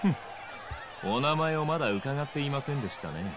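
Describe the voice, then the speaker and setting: An actor playing a suave nightclub owner and crime boss in a game, formal and theatrical with polite condescension. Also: male voice, mid-range voice, lively intonation, a little fast, noisy background, natural voice. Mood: coolly menacing. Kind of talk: video game cutscene acting. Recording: loud music and crowd behind.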